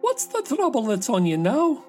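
Man voicing a high, soothing old henwife. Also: huge pitch swings, normal speed, faint music behind, exaggerated voice. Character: kindly old henwife, magical helper in a fairy tale.

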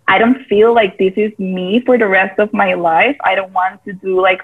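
Woman, guest on a video call, chatty with many fillers, mid pitch, phone-like audio. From Costa Rica. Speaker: guest, part-time yoga teacher. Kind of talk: casual interview chat.

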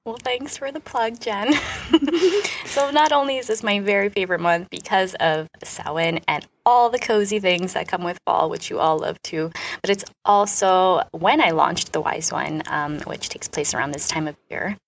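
A woman's voice: medium pitch, moderate pace, a little hiss or hum. A woman co-hosting a podcast, explaining things in an enthusiastic, informal, conversational way. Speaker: podcast co-host and author.

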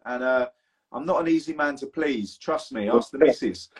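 A man's voice: medium pitch, normal speed, a crowd in the background. A man hosting a casual interview podcast, chatty and blunt, swearing freely. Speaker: podcast host.

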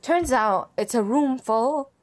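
A young woman on a podcast telling a funny story in casual chat, lively and self-mocking, often breaking into laughter. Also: on the high side, slow pace. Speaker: podcast guest.

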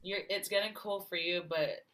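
Woman chatting on a podcast call, bright voice, lots of ums and likes. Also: medium pitch, steady tone, normal speed. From Barbados. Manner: chatty storyteller, many fillers and laughs. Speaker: guest singer-songwriter. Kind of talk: casual interview chat.

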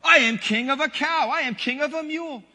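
A man's voice: extremely high pitch, fairly quick, pushed and bright, heavily acted. A man voicing a boastful, domineering turtle king from a children's storybook, pompous and bellowing. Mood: gloating. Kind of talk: children's storybook read aloud. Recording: long hall reverb.

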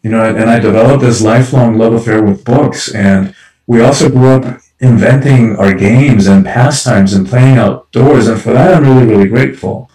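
A man's voice: medium pitch, moderate pace, mellow, echoey room, far from the mic, low-quality recording. A man who grew up in Cuba, an author and speaker, talking reflectively in an interview and often repeating words as he gathers his thoughts. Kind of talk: conversational interview.

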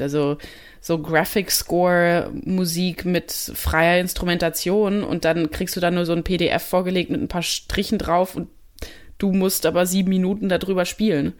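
A young woman from Germany, a musician answering interview questions in a casual, thoughtful way, pausing often with fillers and restarts. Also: fairly low pitch.

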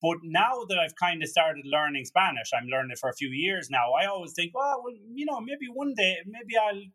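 A man's voice: sits high, expressive, normal speed, pushed and bright. A man hosting a podcast, chatting casually with many restarts and fillers. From Ireland. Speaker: podcast host. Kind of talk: casual conversation.

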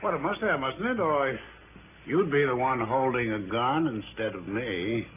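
A man playing a smooth, coolly menacing crook in a 1960s radio drama, with genteel, over-polite phrasing. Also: mid-range voice, a little slow, natural voice. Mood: smug. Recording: tinny, noisy early-1960s radio recording.

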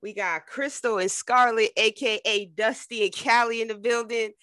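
Bright-voiced woman hosting a podcast. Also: on the high side, moderate pace, no room sound. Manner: relaxed, slangy, repeats words while asking questions. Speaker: podcast host. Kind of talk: casual interview chat.

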